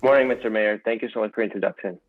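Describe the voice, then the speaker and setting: A man's voice: lowish voice, very fast, no echo. A man in his twenties from Staten Island, New York, formally reading a prepared testimonial as a student guest speaker. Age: 20s. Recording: thin phone-like radio-style sound, dry.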